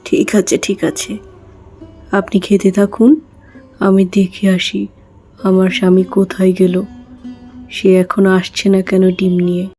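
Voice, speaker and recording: A woman plays a poor, dutiful young-family mother in a village cartoon fairy tale. Her voice is soft and mellow with little rise and fall, earnest and respectful over faint music. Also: mid-range voice, completely flat delivery, unhurried, pauses often, close to an everyday voice. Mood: gentle, apologetic.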